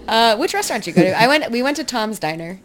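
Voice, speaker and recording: A woman with a hoarse, raspy voice from a cold, chatting casually as a podcast co-host and laughing often. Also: on the high side, big pitch swings, quick pace, a crowd in the background. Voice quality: raspy smoker's voice. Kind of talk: casual chat.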